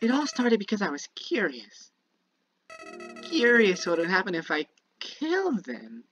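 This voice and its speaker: A woman's voice: medium pitch, sing-song, unhurried, a bit bright, poor audio. A woman voicing a sinister, childlike talking flower from a video game, bitter and manipulative, delivering a theatrical monologue that moves between sweet musing and menace. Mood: gleeful, menacing. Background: prominent music.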